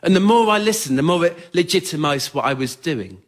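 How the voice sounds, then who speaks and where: A young man with an English accent performs scripted autobiographical stories on stage in a wry, understated, measured way. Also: a little high, animated. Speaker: writer-performer of a solo spoken-word show. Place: radio theatre in front of a live audience.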